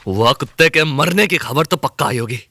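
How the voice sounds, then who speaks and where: A man's voice: medium pitch, expressive, moderate pace, bright, forceful tone, a little hiss or hum. A man voicing a cruel young male villain who mocks and taunts, in an audio drama. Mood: gloating. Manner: mocking, taunting, cackling.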